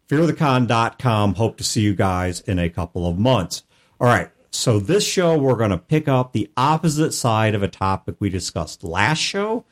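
A man with a fairly low voice, talking through ideas in an easy, methodical way. He co-hosts a casual gaming chat show and backs each point with an example. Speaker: podcast co-host.

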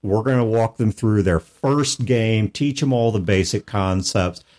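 Low-voiced man explaining things methodically. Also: measured pace, darkish tone. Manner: methodical, lays out ideas with examples. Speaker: podcast co-host. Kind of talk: casual explanatory chat.

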